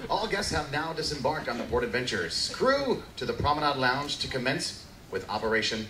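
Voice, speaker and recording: A man's voice: mid-range voice, sing-song, normal speed, natural voice. Echoey announcing man as cruise director. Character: cruise director making a shipboard announcement to crew. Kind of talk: amateur live radio play. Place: live stage reading aboard cruise ship. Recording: echoey live room, noisy crowd.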